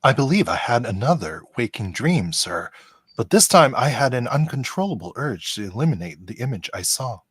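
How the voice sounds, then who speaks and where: A man's voice: mid-range voice, big pitch swings, normal speed, fairly bright tone, slightly rough, dry recording. A man playing a polite, precise android officer in a radio play, speaking formally. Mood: matter-of-fact.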